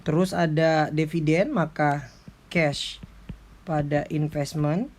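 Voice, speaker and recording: A man's voice: fairly high pitch, steady tone, a little mellow. Male lecturer, noisy recording. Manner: halting, step-by-step, checks with "ya". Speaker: accounting lecturer. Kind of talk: informal tutorial explanation, worked examples. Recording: clearly noisy background with clicks.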